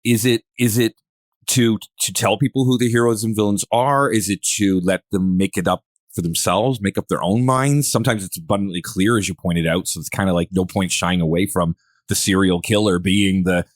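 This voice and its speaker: A man's voice: fairly low pitch, normal speed, no room sound, studio quality. A man posing questions in a casual podcast discussion, rambling and circling toward his point.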